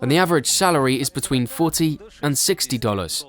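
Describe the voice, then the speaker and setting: A man reads a documentary voice-over at a mid pitch. He translates and explains in an even, explanatory way. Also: brisk.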